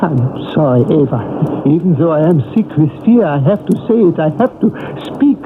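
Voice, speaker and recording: An actor playing a frightened, timid man who serves as a dictator's double, speaking in a halting, pleading way. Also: on the high side, expressive, close to an everyday voice. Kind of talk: 1970s radio drama acting. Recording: tinny hissy old radio broadcast.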